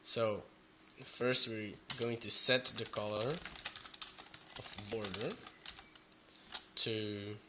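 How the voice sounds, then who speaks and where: A young man with a Belgian Dutch accent who is a bit sick, talking haltingly through a coding tutorial with many fillers. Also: lowish voice, fairly even intonation, noisy background. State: sick, voice sounds off. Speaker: programming tutor. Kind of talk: screen-recorded coding tutorial. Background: keyboard typing and clicks.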